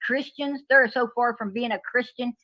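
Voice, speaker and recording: A woman's voice: a little high, steady tone, normal speed, pushed and bright, no room sound, rough recording. A woman talking bluntly and indignantly on a livestream panel. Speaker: panel guest. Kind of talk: heated livestream panel talk.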